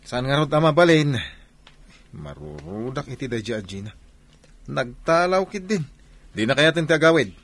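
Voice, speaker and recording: An actor playing a stern, bossy older brother in a radio drama, insistent and reproachful. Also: male voice, fairly high pitch, expressive, unhurried, vocal fry, pauses often, fairly bright tone, full character voice. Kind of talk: Filipino radio drama acting.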